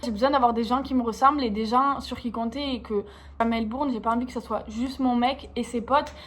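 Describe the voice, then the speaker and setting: A young woman with an accent from France, vlogging in an informal, slangy, expressive way, confiding casually in her viewers. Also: a little high, brisk. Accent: French from France. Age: young adult.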